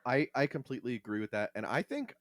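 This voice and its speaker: A man's voice: medium pitch, very animated, quick pace, dry recording, studio quality. A man hosting a podcast, giving casual, opinionated takes on fiction in an emphatic, salty-tongued way. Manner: emphatic, profane. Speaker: podcast host.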